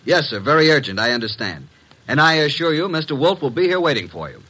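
A wisecracking assistant to a detective in vintage radio drama. The voice is husky and forceful, with very lively swings of pitch. He talks glibly, with a wry edge. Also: fast, heavily acted, rough recording. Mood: eager, glib. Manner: glib, wry, animated. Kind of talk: 1950s radio drama acting and narration. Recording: vintage 1950s radio recording.

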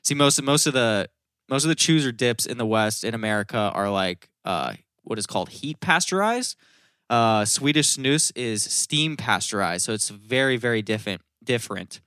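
A man's voice: medium pitch, lively intonation, moderate pace, bright, forceful tone, smooth, no room sound, clean studio sound. A man in casual podcast chat, explaining things in a rambling, informal way with some swearing.

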